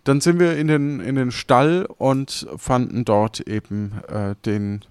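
Man, podcast host, medium pitch, dry sound, casual recap, halting and agreeable. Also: smooth, no echo.

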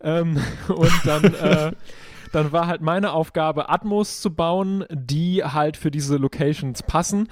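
A man's voice: on the high side, slow pace, slight background noise. A man talking as a podcast guest and sound designer, explaining his work in casual chat.

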